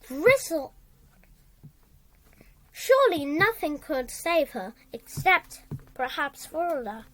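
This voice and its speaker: Slow, high-pitched female storybook reader. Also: very animated, a bit bright, rough recording. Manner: halting, repeats and corrects words while reading. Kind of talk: reading a children's story aloud.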